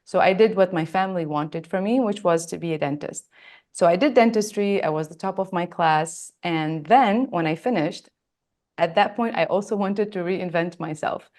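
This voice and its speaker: A woman's voice: on the low side, normal speed, a little mellow. A woman from Lebanon, in her thirties or forties, telling her own story earnestly and emphatically as an interview guest. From Lebanon, born in Australia. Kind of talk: reflective personal storytelling in an interview.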